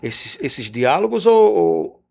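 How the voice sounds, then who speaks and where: A man's voice: mid-range voice, huge pitch swings, takes it slowly, creaky, a little mellow. A man with a Brazilian Portuguese accent hosting a radio interview, asking long, winding questions with "não é?" tags.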